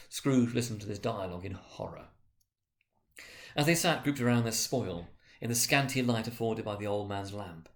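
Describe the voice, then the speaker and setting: A man narrating a classic story from a script as a dramatic reading. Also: lowish voice. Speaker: reader and narrator.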